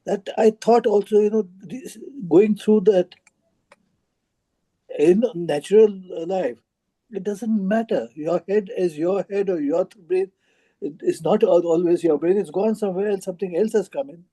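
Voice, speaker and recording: A man speaking in a halting, rambling way in an informal discussion. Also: sits high, warm tone, breathy edge, poor audio. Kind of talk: informal book club discussion.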